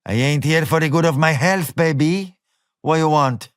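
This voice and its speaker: A man's voice: fairly high pitch, moderate pace, clear, resonant tone, no echo, studio quality, voice pushed up, lightly acted. A man voicing a tough woman bartender in a run-down diner, blunt and drawling.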